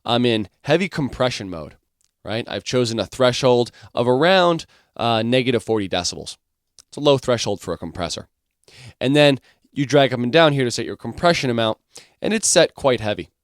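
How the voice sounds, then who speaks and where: A man with a mid-pitched voice explains music software like an instructor. His delivery is informal and explanatory, working through the steps one by one. The sound is dry, with very little room in it. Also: animated, moderate pace, dry recording. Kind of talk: software tutorial, explaining step by step.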